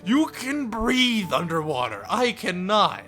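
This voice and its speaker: A man's voice: high voice, sing-song, slow, sharp tone. A man live-streaming a visual novel, reading it aloud theatrically with playful asides. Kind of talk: dramatic read-aloud narration with joking asides. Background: music.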